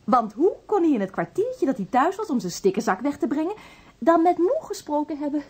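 Earnest, lively lady sleuth. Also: high-pitched, a little fast. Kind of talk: radio drama acting.

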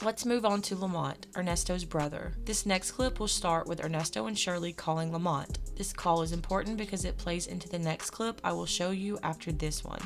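A woman's voice: on the low side, a little fast, few pauses, soft background music. A woman host giving scripted, explanatory narration to introduce recorded clips.

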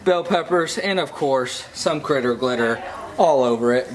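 A man's voice: mid-range voice, moderate pace, rough recording. A young man in his twenties narrating a casual vlog into an action camera held at arm's length, easygoing as he plugs links. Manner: laid-back, plugs links and merch. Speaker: vlogger host. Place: outdoors on a boat, then a home kitchen.

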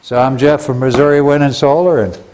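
A man's voice: mid-range voice, fairly even intonation, fairly quick, a little mellow, a little hiss or hum. A middle-aged man with an American accent, giving a casual tool demonstration to camera in a plain-spoken, folksy way. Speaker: presenter of how-to videos for a store. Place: plain indoor workshop room. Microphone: lapel mic.

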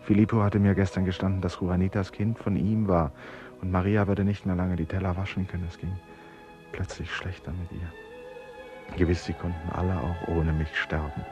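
Male actor as a brooding confined man, low voice, deliberate, introspective radio drama monologue, music behind. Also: flat delivery, lightly acted. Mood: troubled, reflective. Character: brooding, resolute male traveller confined in an asylum, torn by guilt. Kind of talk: 1950s-70s German radio play, monologue and dialogue.

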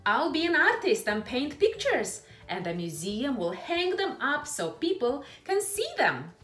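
A woman voicing a confident, imaginative, defiant little girl in a storybook, with emphatic, drawn-out words. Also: high-pitched, wildly swooping pitch, a bit bright, pitched above the performer's own voice, heavily acted. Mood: defiant, proud. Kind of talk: children's picture book read-aloud. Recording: roomy echo with music behind.

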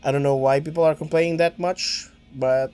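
A young Filipino-accented man talks through his game on a headset mic from a small home room. He rambles loosely, restarting sentences and stumbling over words, sometimes muttering quietly to himself. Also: fairly high pitch, steady tone, fairly quick, soft background music. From Philippines. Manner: rambling, many restarts and stammers, mumbles to himself. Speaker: gaming streamer. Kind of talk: casual let's-play commentary.

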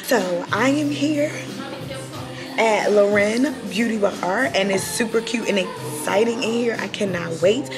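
A young woman hosts a casual vlog from inside an open salon, talking to a camera held at arm's length. Her voice sits at a middle pitch and she sounds upbeat and excited, full of slang. Music plays clearly along with her. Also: measured pace. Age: young adult. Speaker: event hostess and vlogger. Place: indoors in a large open salon. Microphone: camera mic at arm's length, no clip mic. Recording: loud music over the voice.

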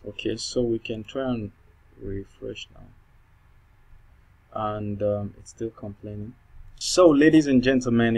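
A man speaking English with a non-native accent, thinking aloud through a coding tutorial with many fillers and restarts. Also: medium pitch. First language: not English. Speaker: programming tutor. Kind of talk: screen-recorded coding tutorial.

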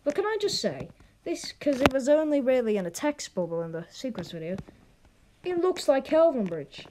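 Casting: chatty, opinionated game vlogger, mid-pitched with lively swings, casual rambling delivery, noisy recording with clicks. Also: normal speed. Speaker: video host. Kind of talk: casual gaming vlog commentary.

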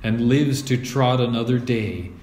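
Slow-paced man reading a storybook, roomy. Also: mid-range voice, a little mellow, slight background noise. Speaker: storybook reader. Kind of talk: scripted children's story narration, read aloud. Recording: roomy echo.

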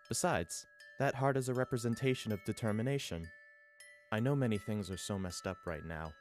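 Man voicing gentle young sweetheart, very lively intonation, caring, earnest, comic dub, light music behind. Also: on the low side, natural voice.

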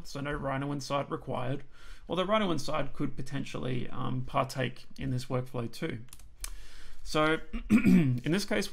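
An Australian man talks through a screen-recorded software tutorial in a relaxed, chatty way, filling pauses with ums. His voice is gravelly with lots of fry and has gone hoarse. He sits at a desk microphone. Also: medium pitch. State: losing his voice.

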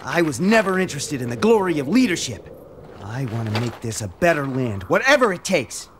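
A man's voice: a little high, big pitch swings, fairly quick, background noise. A man playing a proud, ambitious young samurai warlord in an English game dub, with a defiant, declamatory manner. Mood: brooding, resolute. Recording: music behind dialogue.

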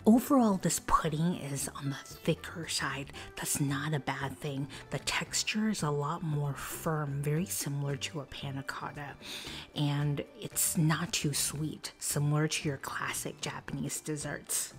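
A woman narrating a food review in a soft, breathy whisper, calm and descriptive. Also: low voice, unhurried, over music. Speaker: food vlogger.

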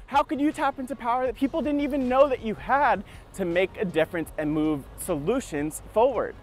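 A man's voice: extremely high pitch, brisk, slight background noise. A young man hosting a climate show, presenting upbeat and emphatic from an outdoor city park. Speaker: host and interviewer. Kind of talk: presenting outdoors, then asking interview questions.

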